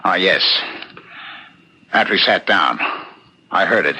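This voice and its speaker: Bewildered loyal friend, deep, lively intonation, hesitant, ruminative, old radio mystery. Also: male voice, unhurried, a little hiss or hum, exaggerated voice. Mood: recalling. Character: puzzled male witness, loyal friend, thinking aloud. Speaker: radio actor. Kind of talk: 1970s radio mystery acting. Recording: old radio broadcast recording.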